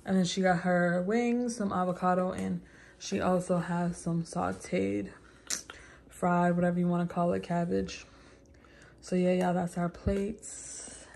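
A woman's voice: on the low side, little pitch movement, measured pace, vocal fry, darkish tone. A young woman vlogging casually to camera in an informal, slangy, rambling way. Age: young adult. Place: parked car, then home kitchen. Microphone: phone held at arm's length.

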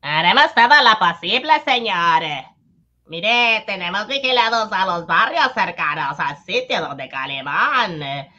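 A woman's voice: medium pitch, animated, unhurried, pushed and bright, lo-fi sound. A woman playing an impatient, scolding male police colonel in an amateur comic-book radio drama. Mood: blustering. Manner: exclamatory, scolding, theatrical.

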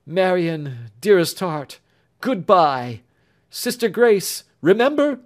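A man's voice: high-pitched, sing-song, takes it slowly, voice pushed up, full character voice. A man voicing an earnest young suitor. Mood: fervent.

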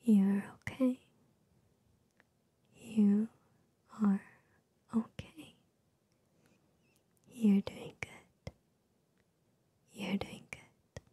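A young woman giving soothing ASMR affirmations in a soft, breathy whisper, gentle and repetitive, very close to the microphone. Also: medium pitch, steady tone, very slow, pauses often, no echo. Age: young adult. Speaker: ASMR host. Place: small bedroom with gaming setup, indoors. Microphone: large microphone very close to the mouth.

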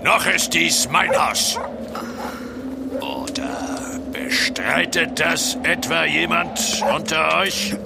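An actor playing a menacing old vampire patriarch in an audio drama, imperious and querulous. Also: male voice, a little high, expressive, extremely slow, vocal fry, very noisy, exaggerated voice. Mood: imperious rage. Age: older. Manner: imperious, querulous, swinging between whisper and bark.

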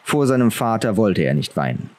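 Male audiobook narrator, lowish register, scripted fantasy prose. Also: very animated, brisk, darkish tone.

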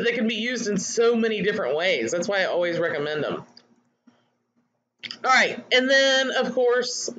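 A woman's voice: medium pitch, a bit bright, slight background noise, low-quality recording. A woman coaching online marketers in a webinar, chatty and candid as she reads out attendees' comments. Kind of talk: webinar teaching.

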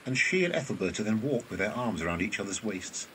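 A man's voice: on the low side, sing-song, fast talker, pushed and bright, slight background noise. A man narrating an audio drama, reading out scripted stage directions. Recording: distant mic, faint ambience.

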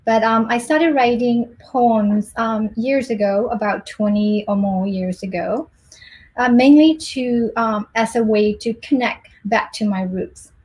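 A middle-aged woman from Myanmar on a video call from her home office, introducing and reading her poems in a measured, soft-spoken way. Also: a little high, rough recording. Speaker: poet.

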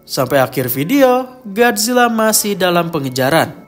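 A man's voice: sits high, big pitch swings, normal speed. A man narrating a scripted horror explainer as host, with dramatic storytelling delivery. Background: music behind the voice.

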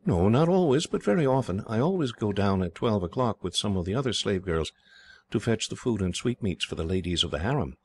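A man voicing a frightened young English girl who was raised as a slave, speaking timidly and haltingly. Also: on the low side, expressive, slightly rough.

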